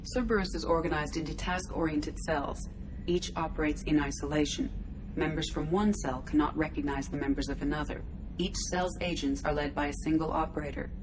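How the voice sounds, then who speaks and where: A detached female artificial intelligence voiced by a woman for a science fiction game. The voice is very low, precise and impersonal, with clear ambient noise around it. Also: fairly even intonation, a little fast, natural voice. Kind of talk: video game cutscene voice acting.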